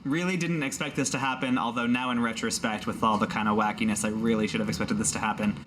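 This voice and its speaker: A man's voice: mid-range voice, steady tone, quick pace, background noise, lightly acted. A man voicing a casual, exasperated male wagon driver in a tabletop fantasy game, talking in a colloquial, bemused way. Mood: resigned.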